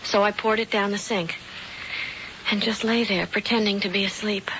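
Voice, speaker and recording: A woman's voice: medium pitch, steady tone, normal speed, fairly bright tone, a little hiss or hum, close to an everyday voice. An actress playing a young woman who escaped a coven, speaking in shaken, earnest tones, in a 1970s radio drama. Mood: uneasy. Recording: dull old radio broadcast.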